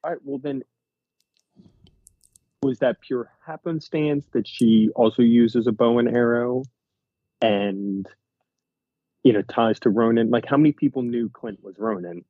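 A man's voice: medium pitch, measured pace, pauses often, no room sound, narrow, tinny audio. A man co-hosting a podcast, musing and wondering aloud in casual chat. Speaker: podcast co-host.

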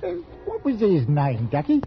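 High-voiced, chatty Cockney man. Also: wildly swooping pitch, moderate pace, faint music behind. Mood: chatty, informative. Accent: London Cockney. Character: talkative working-class London local man, matter-of-fact. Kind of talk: 1940s radio acting. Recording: muffled 1940s radio recording.

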